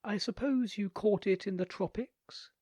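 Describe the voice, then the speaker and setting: A man's voice: sits high, measured pace, mellow, dry recording, pitched above the performer's own voice. A man reading a story aloud, voicing a composed woman on a train who speaks in a cool, dryly amused way.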